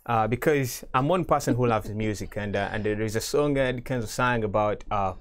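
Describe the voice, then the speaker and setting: A man hosting a TV studio interview, asking long rambling questions full of fillers in an animated way. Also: fairly low pitch, fairly quick. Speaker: TV show host.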